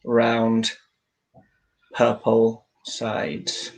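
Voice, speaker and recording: A man's voice: medium pitch, completely flat delivery, extremely slow, gravelly. A man taking part in a workshop, describing things off the cuff in a plain, matter-of-fact way. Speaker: workshop participant. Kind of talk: unscripted description.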